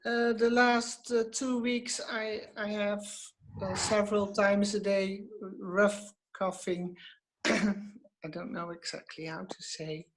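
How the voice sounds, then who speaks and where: A woman's voice: medium pitch, steady tone, slow, low-quality recording. A woman from the Netherlands with a Dutch accent calling in on a video call, hesitant and searching for English words. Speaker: caller.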